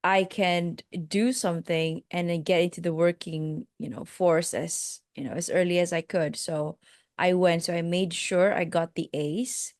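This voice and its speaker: Slow, soft-spoken Malaysian woman. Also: on the low side, fairly even intonation, no echo, studio quality. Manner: reflective and hesitant, with many fillers and restarts. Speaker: guest, life coach. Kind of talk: telling her life story in a podcast interview.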